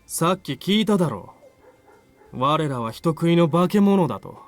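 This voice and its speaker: A voice actor as a sly otherworldly god in a visual novel. The voice is dry and swings widely in pitch, teasing and evasive, taunting whoever it talks to. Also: lively intonation, fast, no echo, faint music behind, close to an everyday voice. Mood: menacing, mocking. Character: mocking, evasive otherworldly man-eating god. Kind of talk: Japanese visual novel voice acting.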